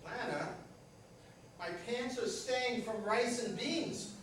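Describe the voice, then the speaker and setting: A man's voice: extremely high pitch, slow pace, a bit bright, distant mic, exaggerated voice. A man playing a defensive, self-important aging superhero who is out of work, in a staged comedy play reading. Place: echoey room at a live staged reading. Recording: very echoey hall from afar, faint noise.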